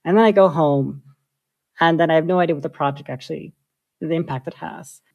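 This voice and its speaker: A woman, a software engineer, talking through her ideas in a casual interview with lots of filler likes. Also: deep voice, a little fast, vocal fry, muffled recording. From United States. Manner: thoughtful, many filler likes.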